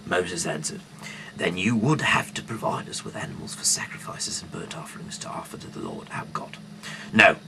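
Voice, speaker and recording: A man with a gravelly voice that fades into a murmur reads scripture aloud haltingly, stumbling over words, with sudden emphasis and off-the-cuff asides. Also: deep voice, bright, forceful tone, slight background noise, low-quality recording. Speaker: amateur Bible reader.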